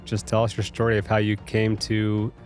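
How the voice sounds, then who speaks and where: A man's voice: lowish voice, completely flat delivery, moderate pace, faint music behind. A man hosting an interview podcast, introducing his guest and asking questions in a friendly way with short affirmations. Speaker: podcast host.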